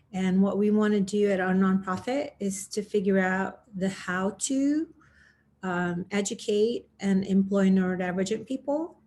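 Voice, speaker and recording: A woman from Indonesia introducing herself earnestly to a round table, in halting phrases. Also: medium pitch, darkish tone. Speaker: panelist, nonprofit advocate. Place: dining room at home, video call.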